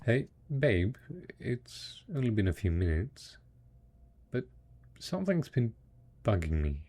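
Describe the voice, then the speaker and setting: A man performs a devoted male survivor in an intimate post-apocalyptic audio drama. The voice is low and husky with a gravelly rasp. He speaks slowly and tenderly, coaxing a beloved spouse with stubborn hope. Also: sing-song, mellow, heavily acted. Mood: curious, hopeful. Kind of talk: intimate audio roleplay acting.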